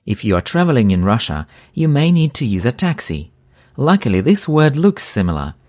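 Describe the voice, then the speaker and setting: A man teaching a language lesson, slowly and clearly repeating each phrase for learners. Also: medium pitch, big pitch swings, darkish tone. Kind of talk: scripted language lesson narration.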